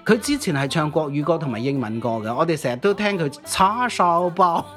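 A man co-hosting a conversational programme about music history, with a husky voice. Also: fairly high pitch, animated, a little fast, fairly bright tone. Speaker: podcast co-host.

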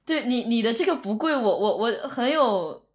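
A woman's voice: on the high side, expressive, normal speed. Woman podcast host, casual banter, voice goes up and down a lot. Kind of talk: casual chat, bantering questions.

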